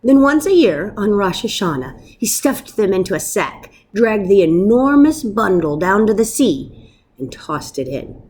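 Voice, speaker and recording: Lady reading a kids story out loud, slow and really animated, slightly husky. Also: medium pitch. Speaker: storyteller reading a picture book aloud. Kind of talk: expressive children's read-aloud narration.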